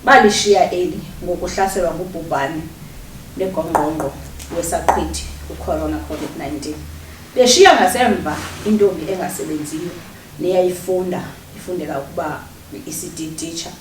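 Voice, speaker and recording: A woman's voice: fairly low pitch, moderate pace, natural voice. A South African woman acting as a solemn storyteller in theatrical narration of a family's loss. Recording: echoey hall, distant mic, faint noise.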